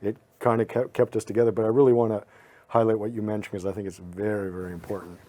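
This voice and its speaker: Mellow, low-voiced male podcast host. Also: flat delivery, quick pace. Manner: reflective, talks in short thoughtful runs with small restarts. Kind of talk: casual podcast chat.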